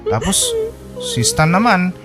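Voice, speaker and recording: A man's voice: extremely high pitch, huge pitch swings, slow pace, loud music behind the voice, full character voice. An actor playing a lovelorn young man in a Filipino radio drama, earnest and colloquial, with a Filipino accent. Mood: exasperated concern. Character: lovelorn young man pining for his best friend. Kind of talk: sentimental Filipino radio drama, narration and dialogue.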